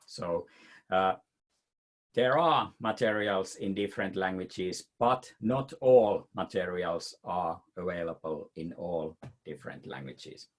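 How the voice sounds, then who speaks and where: Slow, hesitant Finnish-accented man. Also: low voice. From Finland. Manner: hesitant, many fillers and restarts. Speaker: presenter answering questions. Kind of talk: webinar Q&A answer.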